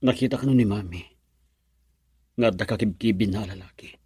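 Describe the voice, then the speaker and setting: A man's voice: lowish voice, normal speed, warm tone, husky, no room sound, clean studio sound. An actor playing a troubled, bashful, lovesick young man with a Filipino accent and hesitant delivery in a radio drama. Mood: dejected.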